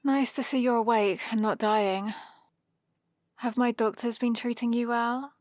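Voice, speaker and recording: A woman's voice: on the high side, fairly even intonation, unhurried, a little mellow, no echo, natural voice. A British woman playing a haughty supervillain in an ASMR roleplay, dry, sardonic and commanding, with a grudgingly caring streak, close to the microphone. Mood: teasing, imperious. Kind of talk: ASMR roleplay, acted monologue to the listener.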